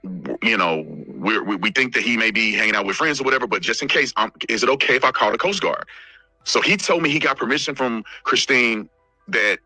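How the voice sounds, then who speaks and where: A man's voice: medium pitch, expressive, fairly quick, pushed and bright, dry recording, soft background music, poor audio. A man giving a detailed, methodical account of what he was told, in an explanatory style.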